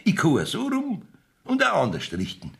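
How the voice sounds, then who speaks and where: An actor playing a blustering, evasive rustic village judge in a radio play, folksy and bossy, with a Bavarian accent. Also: male voice, sits high, wildly swooping pitch, normal speed, creaky, a bit bright, exaggerated voice. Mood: defiant, grumbling. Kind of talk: 1970s dialect radio play acting.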